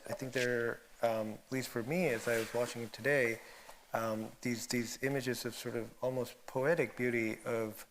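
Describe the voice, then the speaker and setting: Guy moderator, normal pitch, slowly feeling out a thoughtful question, lots of hesitating. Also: slight background noise, poor audio. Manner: hesitant, searching for words. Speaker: discussion moderator. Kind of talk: reflective panel question.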